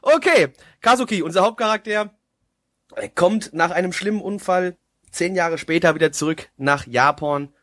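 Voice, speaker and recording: A man hosting a casual anime review chat, talking in a halting, joking, self-mocking way. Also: high-pitched, fairly bright tone. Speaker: host of an anime review show.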